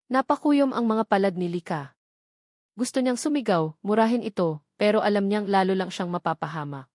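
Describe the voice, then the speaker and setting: A woman's voice: medium pitch, a little mellow, dry recording. Female storyteller, mid-range voice, scripted dramatic romance narration, dry sound.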